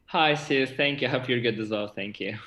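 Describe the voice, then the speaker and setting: An echoey video call carries the voice of a young man with a Portuguese accent. His pitch sits in the middle range for a man, and he talks in an enthusiastic, casual interview style. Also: a little fast, pushed and bright. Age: 20s. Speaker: theatre director and actor. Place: indoor room, on a video call. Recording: roomy, echoing video call audio.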